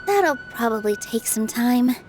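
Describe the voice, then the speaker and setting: A woman playing a gracious, gentle young dancer in an anime video game, speaking politely and earnestly. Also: a little high, lively intonation, unhurried, over loud music. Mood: thoughtful.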